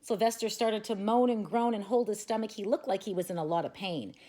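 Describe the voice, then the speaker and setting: A woman's voice: medium pitch, brisk, dark tone. Woman reading a bedtime story. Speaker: TV presenter reading to children. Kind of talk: reading a children's picture book aloud.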